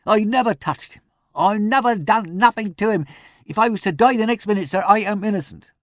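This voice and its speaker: Guy doing a scared young village cop, high and pleading. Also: fairly quick, no echo, close to an everyday voice.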